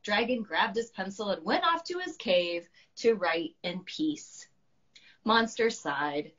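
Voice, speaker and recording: An American woman reads to children in a storybook style. Her voice is bright and highly animated, like a teacher addressing a class. The livestream audio is a little dull. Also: slow pace. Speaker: teacher and children's author reading her book. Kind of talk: children's storybook read-aloud. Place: at home, on a live video stream.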